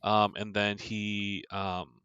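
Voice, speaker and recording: A man's voice: on the low side, monotone, takes it slowly, pushed and bright, clean tone, no echo, highs cut off. A man co-hosting a casual movie podcast, talking in a rambling way with lots of fillers and restarts. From Korea. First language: Korean. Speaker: podcast co-host.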